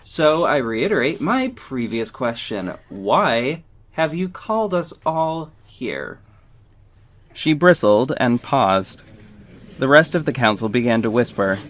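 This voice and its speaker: A man's voice: medium pitch, sing-song, slow pace, fairly bright tone. A man playing a sarcastic, petty young company head who narrates an audio drama in a wry, dramatic way. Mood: petty, assertive. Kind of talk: first-person audio drama narration and dialogue.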